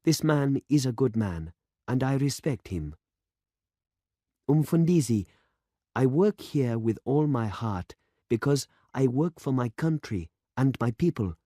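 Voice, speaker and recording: A man's voice: medium pitch, expressive, measured pace, mellow, dry recording, clean studio sound, voice pushed up. A man reading aloud and voicing an earnest, impatient young male farming demonstrator in an urgent, insistent manner.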